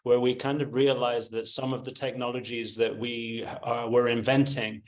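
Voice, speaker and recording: Man, webinar guest, flat monotone, stammering, hesitant. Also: mid-range voice, normal speed. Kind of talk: conversational self-introduction.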